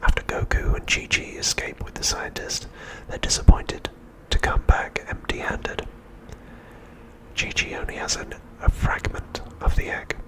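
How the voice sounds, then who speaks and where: A man narrating an ASMR recap in a soft, breathy, unvoiced whisper, hushed and unhurried. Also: poor audio. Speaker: ASMR host.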